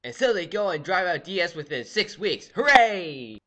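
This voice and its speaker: A teenage boy giving a goofy, jokey history lecture, swinging from loud exclamations to quiet asides. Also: sits high, moderate pace. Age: teens. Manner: goofy, animated, jumps between loud exclamations and mutters. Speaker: student presenter. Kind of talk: comedic lecture with skits.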